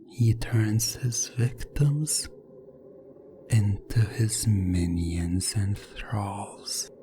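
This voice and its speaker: A man narrating a hypnotic ASMR story in a breathy, often whispery voice, with deliberate, repetitive phrasing. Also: lowish voice, background noise. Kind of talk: slow hypnotic ASMR storytelling, second person.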